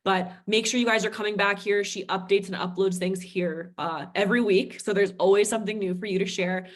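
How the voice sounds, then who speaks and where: A woman with a head cold talks through marketing resources on a company video call. Her voice has a slight rasp and sits at an ordinary middle pitch. She stays chatty and encouraging throughout. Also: steady tone, a little fast. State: head cold, a little raspy. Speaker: vice president of marketing.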